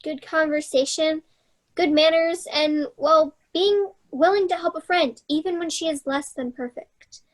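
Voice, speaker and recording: Woman as a very high-voiced young Cinderella. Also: a little slow. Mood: bored, earnest. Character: dreamy, earnest young Cinderella, female. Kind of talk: children's theater staged reading.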